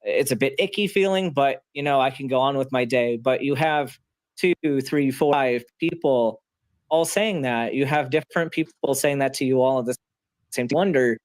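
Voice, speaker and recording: A man's voice: medium pitch, normal speed, no room sound, low-quality recording. A man speaking as a guest in a casual livestream chat, reflective and questioning.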